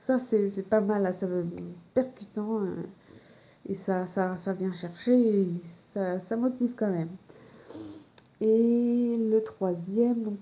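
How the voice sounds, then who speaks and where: A woman's voice: mid-range voice, dark tone. A young Frenchwoman with a French accent, talking casually to camera in a hesitant way, with many fillers and restarts. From France. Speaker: vlogger. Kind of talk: personal casual talk to camera. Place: home in Montreal.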